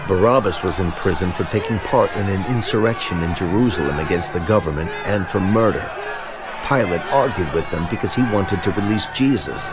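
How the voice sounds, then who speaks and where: Male narrator for scripture drama: low pitch, measured storytelling, music underneath. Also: a loud crowd.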